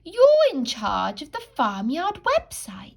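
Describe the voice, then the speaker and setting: A woman's voice: sits high, huge pitch swings, slow pace, vocal fry, voice pushed up, exaggerated voice. A woman voicing an innocent, easily upset young male duck in a children's storybook, plaintive and childlike. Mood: amazed. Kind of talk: children's storybook read-aloud.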